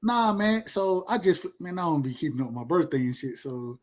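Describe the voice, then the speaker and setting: Southern guy in his 30s, kinda high voice, slangy cussing podcast chat, says stuff twice for emphasis. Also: animated, normal speed, darkish tone. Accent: Southern US, Georgia. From rural Georgia, USA. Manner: emphatic, repeats phrases, slangy and profane. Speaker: podcast speaker. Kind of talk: casual chat.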